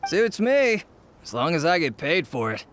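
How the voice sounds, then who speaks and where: A man's voice: fairly high pitch, sing-song, normal speed, a bit bright, a little hiss or hum. A man voicing an easygoing mercenary henchman in a video game, offhand in manner. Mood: nonchalant.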